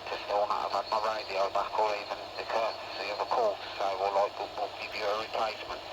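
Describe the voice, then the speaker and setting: A man's voice: on the low side, completely flat delivery, fast talker. British man on a very noisy CB radio. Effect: CB radio transmission. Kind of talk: casual chat over CB radio.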